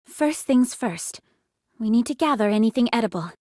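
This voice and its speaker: A woman acts a young android squad leader in an anime-style game. Her voice is high and swings widely in pitch. She is direct, decisive and caring, recorded very dry. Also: animated, normal speed, darkish tone, no echo, lightly acted. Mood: measured. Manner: direct, commanding.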